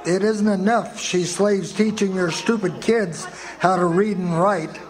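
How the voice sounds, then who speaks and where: An actor playing an angry, belligerent husband in a live radio play. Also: male voice, high voice, steady tone, unhurried, faint music behind, rough recording. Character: angry male tough husband. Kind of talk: live radio drama acting.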